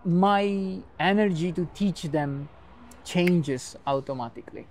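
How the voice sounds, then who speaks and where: A man's voice: high-pitched, slow pace, a little hiss or hum. A man, a dance teacher whose first language is a Portuguese creole, giving long thoughtful interview answers in English and explaining with everyday comparisons.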